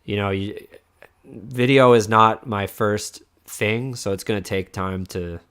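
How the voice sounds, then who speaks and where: A man's voice: fairly low pitch, steady tone, takes it slowly, a bit bright, clean tone. A man hosting a casual streamed podcast, rambling with lots of restarts and fillers as he wraps up with his guest. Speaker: podcast host. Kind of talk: casual chat.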